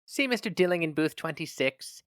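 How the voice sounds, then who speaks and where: Guy doing a young lady receptionist, high voice, curt and doubtful, storybook reading. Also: animated, normal speed, fairly bright tone, no room sound, clean studio sound. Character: sober young female receptionist, doubtful, businesslike.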